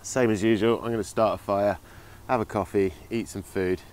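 A man's voice: lowish voice, fairly even intonation, moderate pace, a little mellow, clean tone. A man filming his own bushcraft vlog outdoors in the woods, talking cheerfully with playful, jokey asides. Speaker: bushcraft vlogger. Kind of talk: casual outdoor vlog commentary. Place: woodland outdoors, in the rain.

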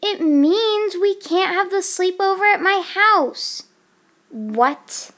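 A woman's voice: very high-pitched, animated, slow pace, a bit bright, full character voice. A young woman voicing casual, dramatic girls planning a sleepover in an amateur doll movie. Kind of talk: amateur doll stop-motion voice acting.

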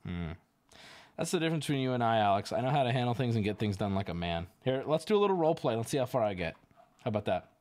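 A man hosting a livestream, chatting casually and teasing, with loud joking outbursts. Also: medium pitch, very animated, fast. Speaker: livestream host. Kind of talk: casual stream chat.

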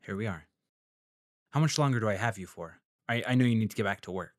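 A man playing an earnest freelance journalist in a fiction podcast, measured and tentative as he interviews and narrates. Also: lowish voice, expressive, dry recording, studio quality, close to an everyday voice. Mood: gentle, probing. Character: earnest, tactful male freelance journalist narrating his investigation. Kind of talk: fiction podcast acting, scripted first-person narration.

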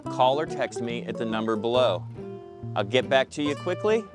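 A man's voice: mid-range voice, expressive, moderate pace, music in the background. A man in his fifties delivering scripted, plain-spoken instructional advice to camera as a pest control pro, outdoors on a lapel mic. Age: 50s. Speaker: pest control company representative. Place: outdoors in a parking lot beside a pickup truck. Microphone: clip-on lapel mic.

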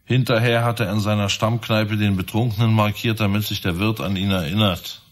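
An actor playing a relentless, sarcastic police inspector probing suspects in a radio crime drama. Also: male voice, lowish voice, steady tone, fairly quick, lightly acted. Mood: sardonic, accusing.

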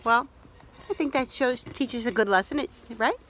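A middle-aged woman reading a picture book aloud to children outdoors in an expressive way, pausing to ask questions. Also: on the high side, a little hiss or hum. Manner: expressive, asks listeners questions. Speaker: library story reader. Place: outdoors in a leafy yard.

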